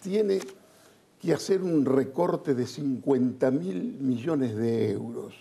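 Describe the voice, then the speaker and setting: A man's voice: medium pitch, lively intonation, slow, vocal fry, dark tone, breathy edge. A man with an Argentine Rioplatense accent giving blunt, emphatic, colloquial political opinions as an interview guest. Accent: Argentine Rioplatense Spanish.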